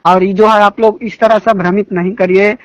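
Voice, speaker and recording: A man's voice: sits high, fairly even intonation, moderate pace, dry recording. A young man with a Bihari accent talking politics informally on a recorded phone call, indignant and emphatic, often repeating his phrases. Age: young adult. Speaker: phone caller discussing local politics.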